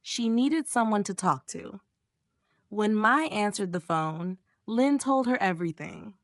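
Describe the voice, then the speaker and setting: A woman reads a simple learner's story aloud, clear and measured at an even, middle pitch. The sound is dry and close, with no room around her. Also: dry recording. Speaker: narrator. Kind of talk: slow graded-reader storytelling.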